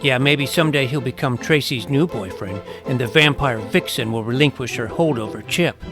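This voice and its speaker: Man playing a creaky-voiced eager boy vampire hunter. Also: mid-range voice, moderate pace, over music, close to an everyday voice. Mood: eager, determined. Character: eager twelve-year-old boy vampire hunter, suspicious and jumpy. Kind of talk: audio drama acting.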